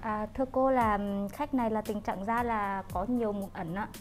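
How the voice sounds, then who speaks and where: A woman's voice: mid-range voice, steady tone, normal speed. A young woman, a skincare student, answering her teacher hesitantly and respectfully in a spa treatment room. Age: young adult. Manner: hesitant, deferential. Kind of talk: answering a teacher's questions in a practical demonstration. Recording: faint music behind treatment-room speech.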